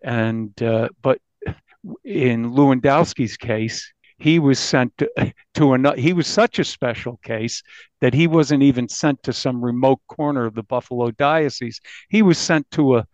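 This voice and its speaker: A man's voice: medium pitch, a little slow, a little mellow, no echo. Dull, dry recording of a male journalist. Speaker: investigative journalist, interview guest. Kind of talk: conversational recounting of a true crime case. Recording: dull, band-limited audio.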